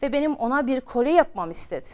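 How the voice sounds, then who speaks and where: A woman's voice: sits high, animated, fast, pitched above the performer's own voice. An eager storybook craftsman with dreams of riches, voiced by a woman. He sounds obliging and wishful. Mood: hopeful, dreamy.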